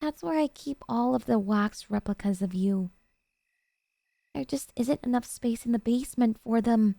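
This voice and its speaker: A woman playing a doting, jealous yandere girlfriend in an ASMR roleplay, coaxing and sweetly earnest with hesitant pauses. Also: on the high side, gravelly, dark tone, dry recording. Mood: pleading, dreamy.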